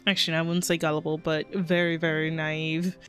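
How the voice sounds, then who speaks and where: A woman's voice: deep voice, flat delivery, brisk, a bit bright, faint music behind. A young woman streamer giving casual, slangy reaction commentary over a game. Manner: casual, slangy, chatty asides.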